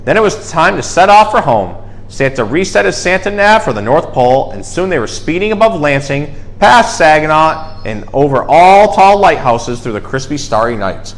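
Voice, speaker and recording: A man with a slightly high voice reads a children's story aloud. His delivery is very animated, swinging up and down in pitch with dramatic emphasis on key words. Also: moderate pace, low-quality recording. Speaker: storyteller and zookeeper host.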